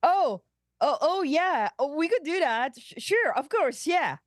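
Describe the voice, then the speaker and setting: A woman's voice: high-pitched, expressive, unhurried, no echo, studio quality. A woman voicing an awkward, eager young male ensign who stammers while trying to act cool, in a sci-fi comedy table read. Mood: flustered, eager. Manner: stammering, flustered.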